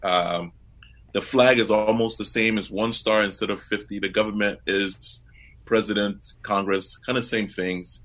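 A man's voice: on the low side, fairly even intonation, unhurried, fairly bright tone. A man from Liberia, a guest on a radio show, thoughtfully explaining his life story with frequent restarts.

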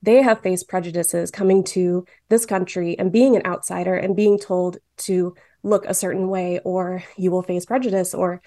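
Late twenties, from Michigan, chatty with fillers, medium pitch, dry sound. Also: fairly even intonation, normal speed, hardly any pauses, mellow, no room sound. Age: late 20s. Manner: chatty, many fillers, laughs often. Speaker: guest cartoonist. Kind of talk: casual interview answers.

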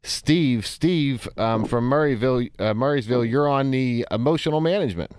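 Hoarse guy hosting a radio advice show, earnest, asks straight questions, dead dry studio sound. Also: medium pitch, moderate pace, darkish tone, dry recording. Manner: earnest, coaching with direct questions. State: hoarse, voice strained from a neck injury. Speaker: radio call-in host and therapist.

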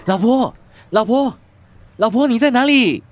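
Radio drama husband, male: high-pitched, agitated, pleading, desperate to find his wife. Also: animated, a little slow, slight background noise. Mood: frantic. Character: frantic husband searching for his missing wife. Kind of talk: Chinese-language radio drama acting.

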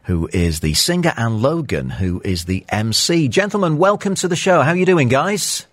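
A man's voice: mid-range voice, very animated, normal speed, dry recording. A man hosting a radio show, chatting with guests in a live interview style.

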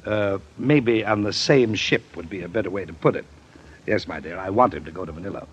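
An actor playing an older businessman, measured, courteous and guarded, in old-time radio style. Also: low-pitched, slightly rough. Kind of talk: 1940s radio acting. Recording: muffled, noisy old radio recording.

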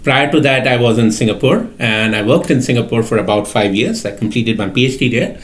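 A middle-aged man from India, a lecturer speaking methodically with frequent "uh" pauses as a podcast guest. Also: mid-range voice. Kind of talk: podcast interview.

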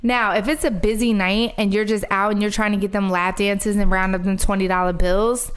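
A woman's voice: mid-range voice, level tone, fairly quick, clear, resonant tone. A woman from Philadelphia co-hosting an advice show, upbeat and encouraging with callers. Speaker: co-host.

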